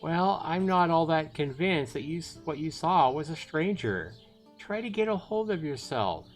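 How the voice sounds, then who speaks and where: Brusque fantasy village aunt acted by a man, skeptical and dismissive, slightly high, animated, visual novel style. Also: moderate pace, faint music behind, close to an everyday voice. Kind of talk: dramatized visual novel reading.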